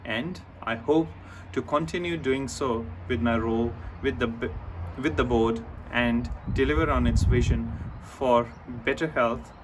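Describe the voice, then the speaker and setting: A man from India gives a measured, prepared introduction and lists his points one after another. He sits a little away from the mic. A faint hum of traffic and outdoor air runs behind him. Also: mid-range voice, far from the mic. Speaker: new board member.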